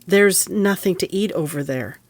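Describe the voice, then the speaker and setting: An actress in an audio play playing a kindly middle-aged mother with a gentle, measured manner. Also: fairly low pitch, natural voice. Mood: earnest. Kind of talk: audio play acting and storytelling.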